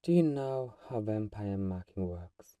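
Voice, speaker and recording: A man playing a possessive vampire who is gentle, coaxing and condescendingly protective, in a soft-spoken, intimate ASMR roleplay. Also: on the low side, animated, measured pace, clean tone, no echo, distant mic. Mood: soothing, coaxing.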